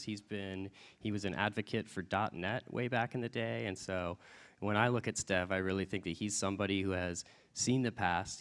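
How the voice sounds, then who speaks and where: A man's voice: low-pitched, a bit bright, clear, resonant tone. A man introducing a conference speaker with enthusiastic praise and frequent fillers. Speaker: event introducer.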